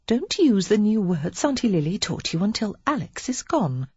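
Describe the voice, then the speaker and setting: A woman's voice: medium pitch, big pitch swings, moderate pace, a little mellow, husky, no room sound, lo-fi sound, pitched above the performer's own voice. A woman reading the part of a witty, confident young woman who teaches card tricks, in a teasing, playful way.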